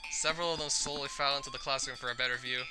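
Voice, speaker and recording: Mid-pitched visual novel narrator over music. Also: steady tone, rapid-fire, poor audio. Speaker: video game player reading dialogue aloud. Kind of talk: expressive read-aloud narration of a visual novel.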